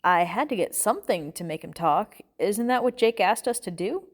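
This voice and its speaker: A woman's voice: medium pitch, animated, moderate pace, natural voice. An impulsive male sidekick voiced by a woman reader in a storybook thriller. He sounds earnest, naive and defensive.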